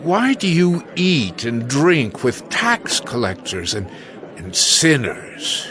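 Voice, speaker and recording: A man playing a grumbling, disapproving Pharisee in a dramatized scripture recording, with a drawn-out, reproachful delivery. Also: medium pitch, huge pitch swings. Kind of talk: dramatized scripture audio acting. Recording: crowd noise behind.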